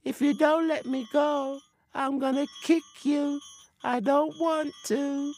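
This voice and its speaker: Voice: sits high, fairly even intonation, slow, a little mellow, slight background noise, pitched above the performer's own voice. Squealing invisible forest spirit. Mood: whining. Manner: squealing, pleading, petulant threats. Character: high-pitched whiny forest spirit, invisible and easily trapped.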